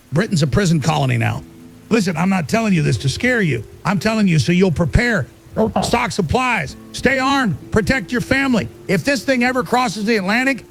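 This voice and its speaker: A man's voice: sits high, gravelly. A man playing a paranoid, defiant American conspiracy broadcaster delivering urgent, emphatic emergency warnings in a radio drama. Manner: emphatic, clipped doomsday warnings. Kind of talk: emergency shortwave radio monologue.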